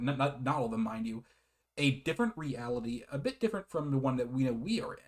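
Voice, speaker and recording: A man casually hosting a game review, often chuckling as he rambles, backtracks and corrects himself. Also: mid-range voice. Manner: hesitant, self-correcting, often repeats and backtracks; chuckles often while talking. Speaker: video game reviewer and host.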